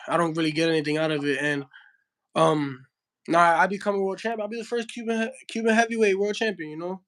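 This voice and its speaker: Young Miami heavyweight, Spanish first language, high voice, slangy casual interview talk full of restarts. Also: male voice, steady tone, fast talker, a bit bright. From Miami, Florida. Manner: slangy, says bro a lot, frequent restarts. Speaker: heavyweight boxer.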